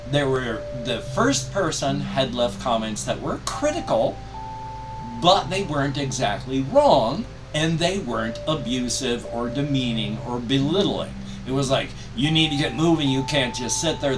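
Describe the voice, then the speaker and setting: An older man talking in a casual personal vlog, rambling and stressing his words emphatically. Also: medium pitch. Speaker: video creator. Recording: distant mic, music behind. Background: music.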